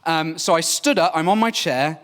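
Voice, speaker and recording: A man's voice: fairly high pitch, moderate pace, a bit bright. A man in his twenties, raised in Wales with Welsh as his first language, telling a humorous story as a speaker at a church gathering. He talks in a chatty, self-mocking way with many fillers and calls his listeners folks. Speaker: preacher. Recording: echoey hall from far off, faint noise.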